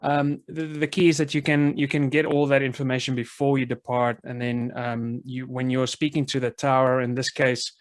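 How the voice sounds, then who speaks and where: A man's voice: mid-range voice, level tone, normal speed, hardly any pauses, no room sound. A man speaking as a student on a video call, thinking aloud in a tentative, hesitant way as he answers. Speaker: student pilot.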